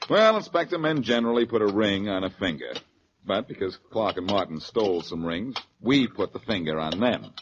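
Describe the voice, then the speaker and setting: An actor playing a cocky, quick-witted amateur detective in a 1940s radio show, glib and teasing. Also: male voice, fairly low pitch, lively intonation, natural voice. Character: wisecracking, confident male amateur detective hero, quick and cocky. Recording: dull old radio recording, audience noise.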